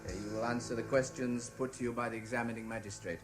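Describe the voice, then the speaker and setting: An actor playing a formal, officious court clerk reading documents aloud in a radio drama. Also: male voice, mid-range voice, steady tone, fast, music in the background, natural voice. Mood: official, declamatory. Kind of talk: 1970s radio drama acting, formal read-out.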